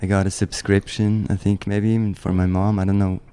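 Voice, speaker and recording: Swiss guy in his 30s, low flat voice, rambly relaxed chat. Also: fairly quick, slight background noise. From German-speaking Switzerland. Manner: relaxed, rambling, many restarts and "like". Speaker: guest, professional snowboarder. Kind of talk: casual interview chat.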